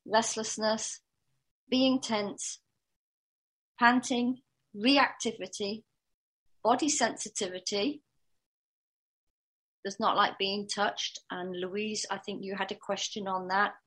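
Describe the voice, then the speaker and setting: Calm, steady woman dog trainer. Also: mid-range voice, slow, long pauses. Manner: calm, steady, speaks from the diaphragm. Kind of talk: teaching a workshop on dog anxiety.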